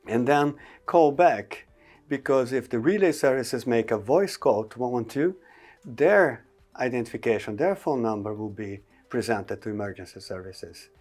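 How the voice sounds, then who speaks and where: A man's voice: mid-range voice, darkish tone. A man giving an informational presentation on emergency call rules, explaining step by step with frequent hesitations.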